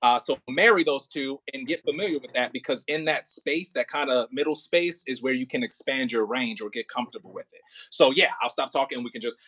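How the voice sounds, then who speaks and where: A man leading a voice warm-up as a coach, explaining casually and haltingly with plenty of filler words. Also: medium pitch, slightly rough. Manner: informal, halting explanations with many "like" and "kinda" hedges. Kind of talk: casual hands-on coaching over a video call.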